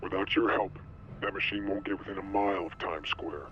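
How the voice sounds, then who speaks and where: An actor playing an urgent, commanding informant who gives terse, insistent tactical briefings over a radio in a video game. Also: male voice, low voice, fairly even intonation, a little fast. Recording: muffled radio transmission, faint noise.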